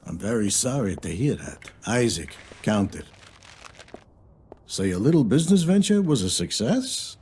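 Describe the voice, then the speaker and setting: A man's voice: lowish voice, very animated, darkish tone, breathy edge, soft background music, exaggerated voice. A man playing a sly, smug loan shark in a video game cutscene, insinuating and falsely courteous. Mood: mock-polite, probing. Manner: unhurried, insinuating, mock-polite.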